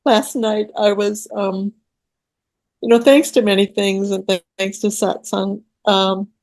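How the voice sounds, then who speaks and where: A woman's voice: mid-range voice, steady tone, measured pace, a little mellow, no echo. A woman taking part in a satsang over a video call, sharing her thoughts earnestly in long searching runs. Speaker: satsang participant. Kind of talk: sharing in group talk.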